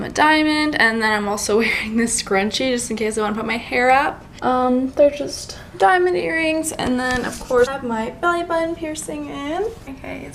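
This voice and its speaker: A woman's voice: high-pitched, gravelly. A young woman chatting informally and giggly in a casual get-ready-with-me vlog. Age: young adult. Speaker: vlogger. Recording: faint background noise.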